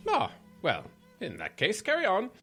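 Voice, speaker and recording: A woman's voice: medium pitch, wildly swooping pitch, moderate pace, loud music behind the voice. Young woman playing a pompous king, wildly swooping voice. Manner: pompous, blustering. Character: haughty, miserly, eccentric king of a tiny island country. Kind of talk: audio drama acting.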